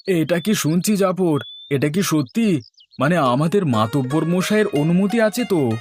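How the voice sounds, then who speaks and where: A man voicing a warm-hearted, eager village man in a cartoon, with an emphatic, exclamatory delivery. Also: on the high side, expressive, unhurried. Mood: amazed. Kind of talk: Bengali cartoon voice acting. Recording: music playing behind the voice.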